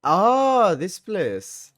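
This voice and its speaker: A man giving casual playthrough commentary, halting and rambling, with some mild swearing. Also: sits high, huge pitch swings, fairly bright tone. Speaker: gaming commentator. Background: game music.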